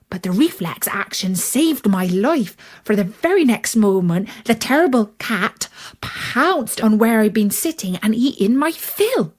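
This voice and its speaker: A woman narrates a fairytale as its storyteller. Her delivery is unhurried and expressive, with careful deliberate phrasing. The voice has a breathy, slightly husky texture at a medium pitch. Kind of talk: scripted fairytale narration in character.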